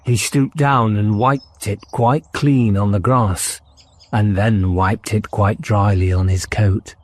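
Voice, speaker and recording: A man's voice: fairly low pitch, steady tone, slow. A man reading a children's fantasy audiobook as narrator, in a dramatic storytelling style.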